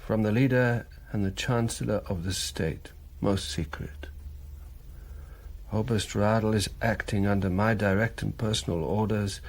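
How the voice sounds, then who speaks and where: A proud, uneasy German army colonel in a radio drama. The voice is fairly low and husky. He speaks formally and carefully, dutiful but guarded. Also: steady tone, a little slow. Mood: formal reading. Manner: formal, guarded, deferential yet firm. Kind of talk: broadcast radio drama acting.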